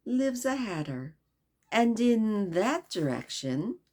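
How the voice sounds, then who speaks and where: A woman playing a sly, grinning storybook cat who purrs out riddles in a soft, unhurried drawl. The voice stays hushed and teasing, with a lilt that rises and dips as it toys with the listener. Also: medium pitch, very animated. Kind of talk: storybook read-aloud.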